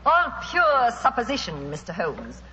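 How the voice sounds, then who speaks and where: A woman's voice: a little high, wildly swooping pitch, measured pace, bright, forceful tone, full character voice. An actress playing a cold, scheming female spy in a 1940s radio drama, speaking formally with a coolly mocking edge. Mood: cold, triumphant. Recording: dull, noisy old radio recording, hall reverb.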